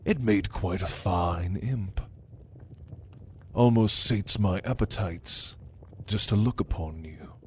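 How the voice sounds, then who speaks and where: A low, very slow demon voiced by a man in an ASMR roleplay, haughty and menacing. He addresses the listener with deliberate, dryly teasing intimidation, over clearly audible background ambience. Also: a little hiss or hum. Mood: musing, sardonic. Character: menacing male demon, prince's right hand, haughty and hungry.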